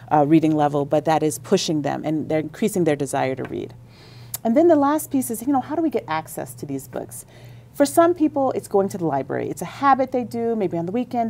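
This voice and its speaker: A woman's voice: fairly low pitch, dark tone. A woman on a radio interview, explaining at length with enthusiasm about library services. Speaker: school district library leader.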